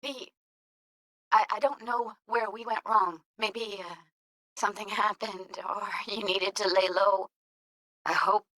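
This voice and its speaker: A woman's voice: mid-range voice, sharp tone, dry recording. A woman playing a guarded, streetwise young technician in a video game, speaking in a casual, slangy, blunt way. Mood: tender, hesitant. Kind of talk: naturalistic video game voice acting.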